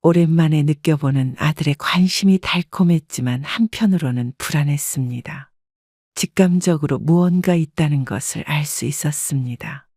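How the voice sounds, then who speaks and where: A woman narrating a scripted first-person family story in a calm, reflective tone. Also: low voice, moderate pace, no room sound, clean studio sound.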